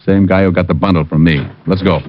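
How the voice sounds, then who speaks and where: An actor playing a tough, wisecracking private detective in a 1940s radio drama, with a wry, clipped delivery. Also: male voice, deep voice, steady tone, quick pace, slight background noise, close to an everyday voice. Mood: urgent, tough. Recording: dull vintage radio recording.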